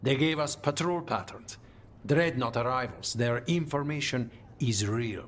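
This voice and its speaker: An actor playing a businesslike, secretive spymaster in a fantasy video game, speaking firmly and formally. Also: male voice, mid-range voice, measured pace, fairly bright tone, a little hiss or hum, natural voice. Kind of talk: video game dialogue acting. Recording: game music underneath.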